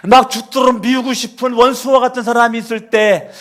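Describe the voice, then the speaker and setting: A man preaching a sermon as pastor in a church, moving between quiet storytelling and loud, fervent exhortation. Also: very high-pitched, level tone, brisk. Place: church sanctuary.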